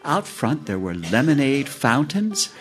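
A man telling a fairy tale theatrically, with dramatic, deliberate phrasing and pauses. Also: medium pitch, very animated. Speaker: storyteller.

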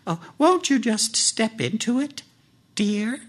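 Man putting on a high-pitched wicked granny witch. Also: sing-song, full character voice. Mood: falsely sweet. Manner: drawn-out cooing, gloating. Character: wicked old granny witch, falsely sweet, hungry.

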